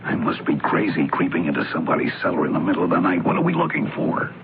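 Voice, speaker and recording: Male grumbling newshound sidekick, very low pitched with lively swoops, radio mystery style, muffled old broadcast. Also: fast talker, very noisy. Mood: nervous. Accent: American. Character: brisk, grumbling male newspaper reporter and sleuth's sidekick. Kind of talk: radio mystery drama acting.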